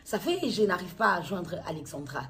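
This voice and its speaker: Lower-voiced middle-aged Congolese woman, halting delivery. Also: big pitch swings, a bit bright. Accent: Congolese, from Kinshasa. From Democratic Republic of the Congo. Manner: halting, repetitive, circles back to restate phrases. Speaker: mother making a public appeal video. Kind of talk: personal testimony to camera, unscripted recounting.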